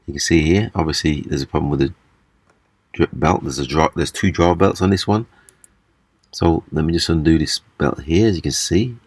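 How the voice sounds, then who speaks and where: A man giving a casual, hands-on repair demonstration, explaining haltingly step by step. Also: very deep voice, husky, dry recording. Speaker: repair video presenter. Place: small indoor room at a table.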